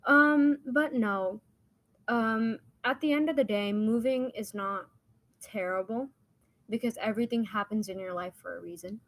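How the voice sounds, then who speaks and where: A woman's voice: on the high side, unhurried, dry recording. A young woman whose first language is Hindi, from India and the United States, telling personal stories in a casual, rambling, informal way as a podcast guest.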